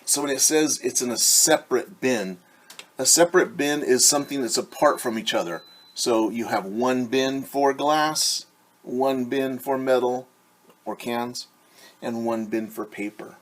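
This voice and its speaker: A man's voice: medium pitch, measured pace. A middle-aged man, a teacher, reading a picture book aloud to children and explaining things simply. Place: small room at home.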